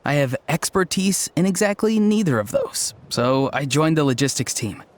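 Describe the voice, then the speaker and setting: An actor playing an earnest, odd young tribesman in a video game, deadpan and matter-of-fact. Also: a little high, moderate pace, dry recording, lightly acted. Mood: sincere.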